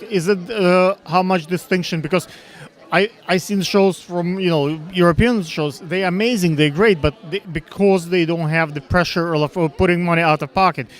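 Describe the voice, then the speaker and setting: Halting man with a Russian accent. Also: sits high. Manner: halting, many fillers and restarts. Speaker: interviewer. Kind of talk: casual interview questions. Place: backstage at a fashion show.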